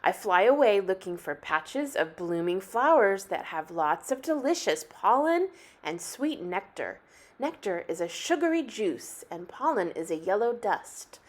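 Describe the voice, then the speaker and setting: A woman reading a picture book aloud like a teacher, with animated, deliberate phrasing meant for young children. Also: medium pitch. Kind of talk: expressive children's story read-aloud.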